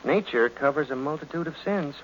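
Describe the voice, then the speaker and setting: A man's voice: on the high side, expressive, fairly quick, lightly acted. A man playing a cocky, irreverent detective's assistant in a 1940s radio mystery, with a wry, slangy delivery. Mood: breezy, sardonic. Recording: muffled, noisy old radio recording.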